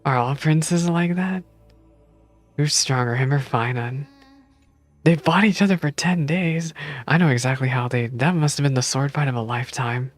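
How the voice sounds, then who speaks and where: A man's voice: mid-range voice, normal speed, no room sound, faint music behind. A man streaming a game, reading story text aloud and reacting casually as he goes.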